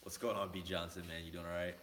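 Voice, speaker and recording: A man's voice: low-pitched, flat delivery, quick pace, a bit bright, reverberant, slight background noise. A man hosting a casual crypto livestream, loose and joking, greeting chat viewers by name while talking into a laptop mic. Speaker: livestream host. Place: indoor room, laptop mic. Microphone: laptop mic, no headphones.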